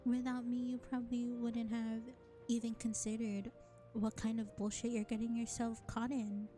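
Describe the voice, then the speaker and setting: A woman playing a sly, self-serving vampire bar owner in an audio roleplay, speaking in a sardonic, condescending drawl with deliberate pauses. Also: on the high side, level tone, dark tone, soft background music, natural voice. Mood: condescending teasing. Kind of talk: scripted audio roleplay acting addressed to listener.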